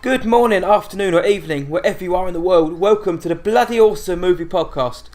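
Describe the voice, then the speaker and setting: A man's voice: sits high, fast, over loud music. A man hosting a casual movie podcast, upbeat, with lightly scripted links and frequent laughs. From United Kingdom. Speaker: host.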